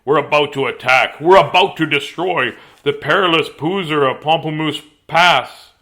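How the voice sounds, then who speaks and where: A man voicing a pompous, bellowing army general from a storybook, barking out booming commands. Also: moderate pace, bright, forceful tone. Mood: blustering, commanding.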